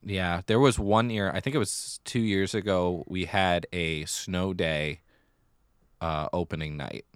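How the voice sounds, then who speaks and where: Low-voiced American man, smooth and dry-humored. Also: fairly even intonation, a little slow, no echo. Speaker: podcast co-host. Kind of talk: casual theatre news chat.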